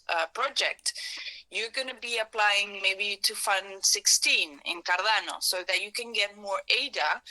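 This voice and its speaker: A woman with an Argentine Spanish accent leads a hands-on workshop in English, her second language. Her voice is very bright and sits at a middle pitch. She pauses to hunt for the right word and often circles back to rephrase. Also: poor audio. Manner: searching for words, restarts phrases, hedges with "sort of". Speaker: workshop facilitator. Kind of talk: live workshop talk to hackathon participants.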